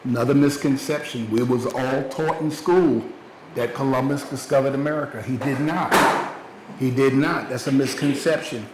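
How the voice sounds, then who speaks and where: A man's voice: medium pitch, steady tone, moderate pace. A man co-presenting a museum lecture, earnest and repeating phrases for stress. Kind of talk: public lecture with questions. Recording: echoey room, faint background noise.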